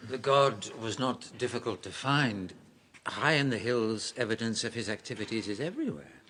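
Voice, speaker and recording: Tense, earnest soldier. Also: male voice, lowish voice, sharp tone, husky, exaggerated voice. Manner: earnest, vivid, pleading testimony. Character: awestruck, earnest soldier reporting to his king. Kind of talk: audio drama monologue.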